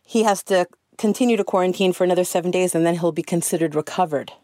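A woman reporter telling a story in a conversational, animated way. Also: fairly low pitch, fast, dry recording. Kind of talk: news feature narration.

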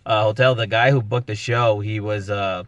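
A man's voice: lowish voice, fairly even intonation, moderate pace, fairly bright tone, muffled recording. A man, a comedian and podcast host, telling a rambling story with casual swearing. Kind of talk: casual chat.

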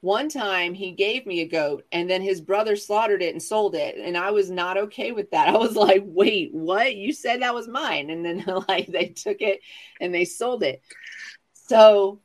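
A man's voice: sits high, unhurried. A man in his forties telling casual comic stories as a chat show guest, sassy, dramatic and self-mocking. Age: 40s.

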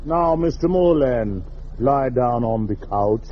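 A man's voice: medium pitch, lively intonation, measured pace, natural voice. A man playing a pompous, formal psychiatrist in a 1940s radio comedy, fond of long words and easily exasperated. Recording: muffled vintage radio recording, noisy audience.

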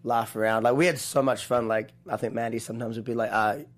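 A man with a New Zealand accent, a choreographer telling stories as an interview guest, animated, laughing often and restarting his sentences. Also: medium pitch, fast talker. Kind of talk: casual interview chat.

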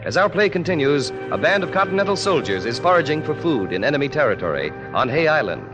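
A man's voice: fairly high pitch, very animated, quick pace, poor audio. A man reading a scripted announcement in a formal 1940s radio style. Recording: band-limited old radio, music behind.

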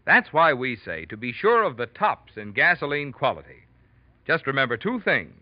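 A man's voice: mid-range voice, sing-song, normal speed, no echo. A man working as a radio announcer, reading a scripted 1940s sponsor message in an emphatic, salesmanlike way. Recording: dry, muffled old radio recording.

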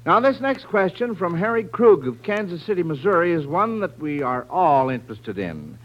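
A man's voice: fairly high pitch, big pitch swings, normal speed. An American man hosting a mid-century radio quiz in an encouraging, avuncular manner. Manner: encouraging, avuncular, addresses children kindly. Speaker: quiz show host. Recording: dull vintage radio broadcast recording.

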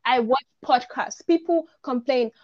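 Woman, straightforward and opinionated, fairly high voice, dull lo-fi stream audio. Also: a little slow, a little mellow. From Nigeria. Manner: direct, opinionated, lots of "like". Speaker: guest panelist. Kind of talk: live online discussion.

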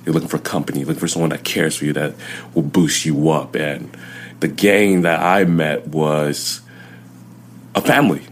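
Young male guest, very deep voice, halting storyteller, faint hum in the room. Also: steady tone. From Southern California. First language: Chinese, Vietnamese. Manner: plain, halting storytelling with many fillers. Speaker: guest, CrossFit coach. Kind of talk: personal life story in an interview.

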